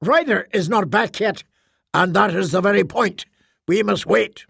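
A man's voice: high voice, expressive, normal speed, gravelly, breathy edge, no room sound, clean studio sound, pitched above the performer's own voice, full character voice. A man voicing an urgent, exasperated male trader in an audiobook, pleading as he argues. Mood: urgent, arguing.

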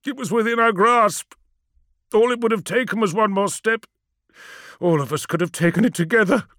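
A man's voice: a little high, big pitch swings, normal speed, vocal fry, a bit bright, no echo, studio quality, heavily acted. A man voicing a towering, wrathful demigod warlord with a fracturing mind, in imperious and anguished declamation for an audiobook. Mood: anguished confusion.

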